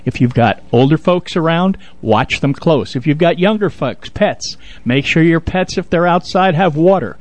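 A man co-hosting a radio show, giving news and announcements in casual, halting talk. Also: a little high, animated, no echo. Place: radio studio.

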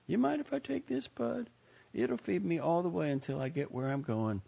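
A man voicing an anxious, protective young woman, an older sister, in a high strained falsetto, read as a storybook. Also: expressive, normal speed, vocal fry, dark tone, husky, full character voice. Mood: coaxing. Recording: muffled lo-fi recording.

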